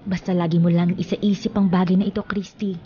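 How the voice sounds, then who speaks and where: An actress playing a kindly, moralizing aunt in a Filipino radio drama, giving measured, earnest advice. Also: female voice, lowish voice, little pitch movement, dark tone, lightly acted. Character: kindly, moralizing aunt advising her young niece. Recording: dull lo-fi sound, faint noise.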